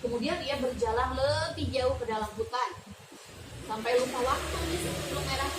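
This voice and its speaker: A young woman reading a fairy tale aloud to children, lively and often stopping to ask them questions. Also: high-pitched. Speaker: student teacher. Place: classroom. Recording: roomy classroom from far off, background noise.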